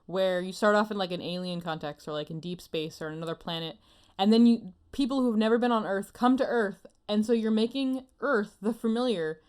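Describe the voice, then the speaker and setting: A woman's voice: medium pitch, a little fast, darkish tone. A woman co-hosting a podcast, chatting casually in an enthusiastic, giggly manner. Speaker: podcast co-host. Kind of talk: casual chat.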